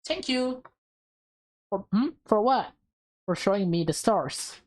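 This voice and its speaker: A woman's voice: mid-range voice, expressive, slow, a little mellow. A woman voicing a tender, grateful young lover in a visual novel.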